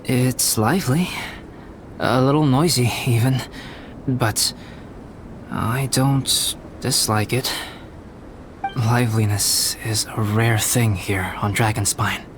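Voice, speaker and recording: A man voicing a composed young scholarly artist in a video game, speaking in a polite, measured, formal and understated way. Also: medium pitch, steady tone, noisy background, lightly acted. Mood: gently reflective. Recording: music under voice. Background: game music.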